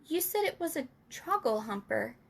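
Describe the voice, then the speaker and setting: Woman as a high-pitched, excitable little girl. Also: moderate pace, gravelly, husky, heavily acted. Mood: puzzled. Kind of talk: children's storybook character reading.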